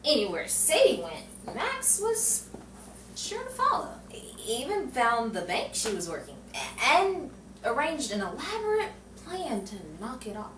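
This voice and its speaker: A woman's voice: high voice, huge pitch swings, a little slow, a bit bright, full character voice. A woman playing a plucky, wry 1940s newspaper reporter in a noir radio play, with theatrical, musing delivery. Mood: probing. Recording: echoey, distant, noisy room recording.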